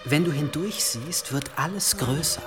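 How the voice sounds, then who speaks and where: An actor playing a calm, modest medieval monk and inventor in a naturalistic radio drama, speaking in a measured, encouraging way. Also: male voice, fairly high pitch, music in the background, lightly acted. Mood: modest, explaining.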